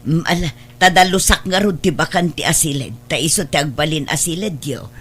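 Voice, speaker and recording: A woman's voice: deep voice, a little fast, breathy edge. An actress playing an affectionate older mother and grandmother in an Ilocano radio drama, speaking tenderly and expressively. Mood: fond, curious.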